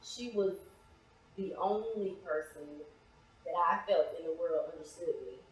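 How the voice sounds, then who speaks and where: A woman's voice: lowish voice, unhurried. A woman speaking casually as an interview guest, reflective and a little hesitant, repeating phrases for emphasis. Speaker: interview guest, playwright and actress. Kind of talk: casual personal conversation. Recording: very echoey, reverberant room.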